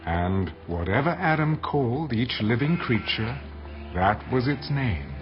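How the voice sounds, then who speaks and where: Man narrating bible drama, slow n solemn, lively pitch swings, loud music under him. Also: lo-fi sound. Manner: solemn, measured.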